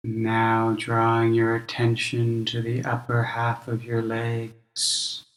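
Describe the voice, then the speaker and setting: A male meditation teacher guides a practice at a very slow pace. His delivery is gentle and measured, with long pauses between instructions. His mid-pitched voice sits in a clearly roomy, reverberant sound. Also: completely flat delivery.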